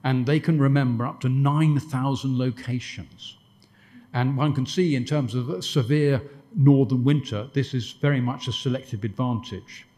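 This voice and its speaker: A man's voice: mid-range voice, normal speed, warm tone. Wry man lecturing at a conference. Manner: discursive, stumbling restarts, dry wit. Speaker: guest lecturer answering questions. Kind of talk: conference Q&A answers.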